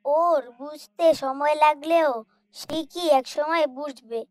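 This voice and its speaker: Devout young cartoon boy played by a woman, high-pitched, polite and measured, very dry sound. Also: a little mellow, dry recording. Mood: respectful, reassuring. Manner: earnest, respectful, measured. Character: polite, pious young boy, gently admonishing his brother. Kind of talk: Islamic moral cartoon dialogue.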